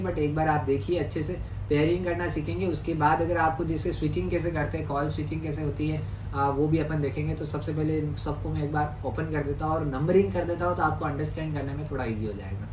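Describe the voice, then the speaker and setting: A man's voice: fairly high pitch, a little mellow, low-quality recording. A man from India presents a hands-on product tutorial. He talks in an instructional way, going step by step and repeating himself. Some ambient noise sits behind his voice. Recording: clear background noise.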